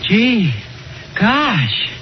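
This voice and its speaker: A man's voice: high-pitched, big pitch swings, extremely slow, full character voice. An actor playing a naive, lovesick, silly young man in a 1940s radio comedy, earnest and gushing. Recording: noisy, dull 1940s radio recording.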